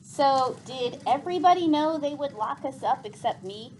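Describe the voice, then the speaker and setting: Woman reading as a blunt, animated young boy hero. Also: sits high, normal speed, a little hiss or hum, pitched above the performer's own voice, rough recording. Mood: irritated. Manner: exclaiming, blunt, questioning. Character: young boy hero, irritated but kind.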